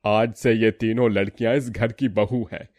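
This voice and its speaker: A man's voice: lowish voice, steady tone, moderate pace, a little mellow, no echo, close to an everyday voice. A man voicing a middle-aged father and family patriarch in a cartoon story, firm and emphatic. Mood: stern.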